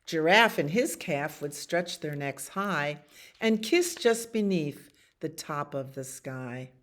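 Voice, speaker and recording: A woman with a low voice reads children's bedtime stories at a very slow, unhurried pace. Her pitch moves in lively swings as she tells the tale, and she drops into casual asides about the pictures. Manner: expressive reading with acted animal sounds and pauses to comment on pictures. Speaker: children's storytime reader at a library.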